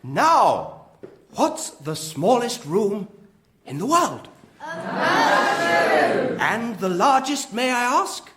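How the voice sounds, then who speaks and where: High, wildly swooping jovial village compère. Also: male voice, wildly swooping pitch, exaggerated voice. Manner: theatrical, exclamatory, drawn-out delivery. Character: jovial village master of ceremonies with corny riddles. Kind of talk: radio drama stage announcing. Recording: echoey hall, light crowd and noise.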